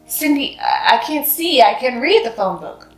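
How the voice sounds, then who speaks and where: A woman voicing a kindly, bedridden hospice resident in a storybook, gentle, curious and a little halting. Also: fairly high pitch, big pitch swings, pitched above the performer's own voice. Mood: gently curious. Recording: roomy, echoing sound.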